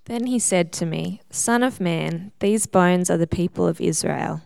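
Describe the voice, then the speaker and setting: A woman reading scripture aloud, her low, mellow voice heavily gravelly with fry. She keeps a steady, measured pace through the passage, and the sound is close and dry. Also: no room sound. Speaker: scripture reader.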